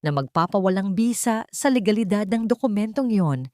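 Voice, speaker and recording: A woman's voice: mid-range voice, animated, normal speed, warm tone, no room sound. A woman reading a scripted, dramatic story as its narrator.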